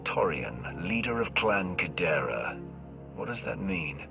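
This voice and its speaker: An actor playing a grim, authoritative male warlord in a video game, speaking in a measured, terse way. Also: sits low, very animated, creaky, over music, exaggerated voice. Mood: commanding. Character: grim, commanding male outsider warlord leading an alliance.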